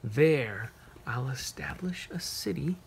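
A man reading a picture book aloud to children, pausing to ask them gentle, encouraging questions. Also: medium pitch, expressive, creaky, slight background noise. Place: carpeted room at home, sitting on floor.

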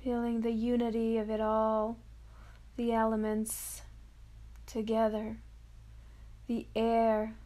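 A woman leading a guided meditation of affirmations, speaking softly and dreamily in drawn-out phrases with long pauses. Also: a little high, completely flat delivery.

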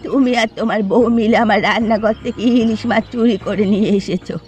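An elderly village mother from a cartoon, acted by a woman, proud and easily wounded. She speaks at a middle pitch for a woman, with music playing underneath. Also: steady tone, fairly quick, darkish tone, close to an everyday voice. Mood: indignant, scolding. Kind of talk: Bengali cartoon dialogue.

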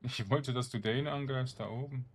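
A man giving casual gameplay commentary, thinking aloud in short, halting phrases. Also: mid-range voice, soft background music. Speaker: let's play streamer.

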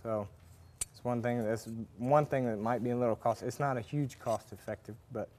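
A man's voice: medium pitch, fairly even intonation, normal speed, a little mellow, a little hiss or hum. A man giving a casual, rambling farrier demonstration at a workshop with forges, with lots of fillers and restarts. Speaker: farrier instructor. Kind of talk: casual hands-on demonstration talk.

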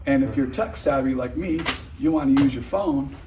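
A man's voice: mid-range voice, normal speed. A middle-aged man delivering scripted church announcements to camera. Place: large indoor church foyer and halls. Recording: roomy, distant, noisy hall sound.

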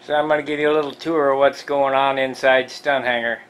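A man giving a casual, rambling tour of his home model-airplane workshop, halting with fillers and clearing his throat, recorded on a handheld camera. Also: medium pitch, little pitch movement, fairly bright tone. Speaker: model airplane builder, channel host. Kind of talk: casual shop tour vlog. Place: cluttered home hobby workshop, indoors.

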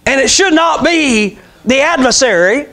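A man in his sixties preaches in a strikingly high voice. His delivery is fervent and informal, like an unscripted church sermon. He repeats key phrases for emphasis and puts questions to his congregation. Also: animated, measured pace, pushed and bright. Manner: emphatic, repetitive, calls out amens and rhetorical questions. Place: small church sanctuary, indoors. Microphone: clip-on lapel mic.

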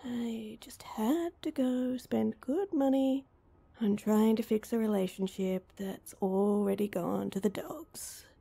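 A woman's voice: a little high, slow pace, vocal fry, warm tone, breathy edge, full character voice. A woman playing an awkward, heartbroken young woman in an intimate roleplay audio drama, speaking hesitantly and with self-deprecation. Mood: dejected, muttering.

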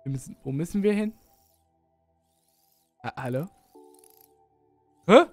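A man's voice: a little high, animated, slow pace, no room sound, faint music behind. A young man with a Berlin accent, chatting casually as he plays through a video game for his channel. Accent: Berlin German. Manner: chatty, rambling, self-mocking. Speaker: let's play streamer. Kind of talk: casual gameplay commentary. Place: home gaming setup, indoors. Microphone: studio mic on arm, headphones.

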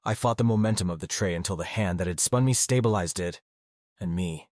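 A man playing a cynical, anxious high school boy, narrating a visual novel in a deadpan, grumbling way. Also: brisk, no room sound, clean studio sound, close to an everyday voice. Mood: anxious, sardonic. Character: cynical, anxious, foul-mouthed male high school student. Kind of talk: first-person visual novel narration and dialogue.

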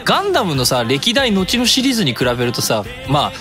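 A man's voice: high-pitched, wildly swooping pitch, fast talker. A man in his thirties hosting a casual radio chat as a podcaster. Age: 30s. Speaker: podcast host, music creator. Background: music.

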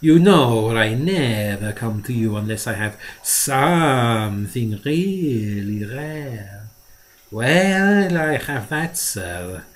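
A man's voice: medium pitch, lively intonation, very slow, slight background noise. A man voicing a fat, greasy little male dealer who talks in an oily, teasing sing-song. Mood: wheedling.

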